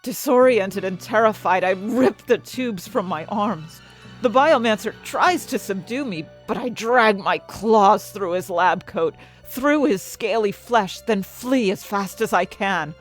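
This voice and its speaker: An anxious young fantasy heroine, acted by a woman, tells her story in the first person with intense, expressive delivery. Her voice sits at a middle pitch, with music playing faintly underneath, as in an audio drama. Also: a little slow, rough recording. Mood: panicked. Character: anxious, desperate young female fantasy test subject. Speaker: narrator and main character of an audio fiction story.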